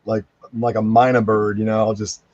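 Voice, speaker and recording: A man chatting casually as a guest, rambling through animated personal anecdotes, on muffled online-call audio. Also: fairly low pitch, fairly quick, a little hiss or hum. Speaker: podcast guest, voice actor. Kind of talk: casual chat.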